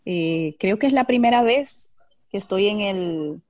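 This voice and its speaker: A woman's voice: medium pitch, lively intonation, measured pace, darkish tone, no echo, studio quality. A woman real estate agent introducing herself on a video call, with many hesitation fillers. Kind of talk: casual self-introduction.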